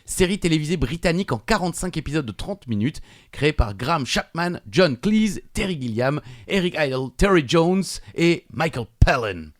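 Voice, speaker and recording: A man co-hosting a quiz podcast, his pitch bouncing up and down as he talks. He reads out long strings of dates and names, then drops into joking banter. The sound is close and dry. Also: animated, moderate pace, hardly any pauses, no echo. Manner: reads show facts in long run-on lists, chatty and joking. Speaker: quiz co-host.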